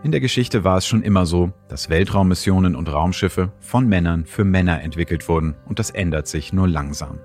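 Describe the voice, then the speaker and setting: A man's voice: sits low, fairly quick, few pauses, a little mellow. A man reading scripted documentary narration as the host. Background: background music.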